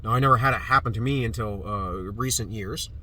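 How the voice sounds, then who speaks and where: A man's voice: on the low side, brisk, pushed and bright. A man recovering from a cold who talks in a rambling, dismissive way, with many fillers and restarts. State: recovering from a cold, slightly stuffy. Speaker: vlogger. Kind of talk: casual opinion monologue. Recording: distant mic with street noise.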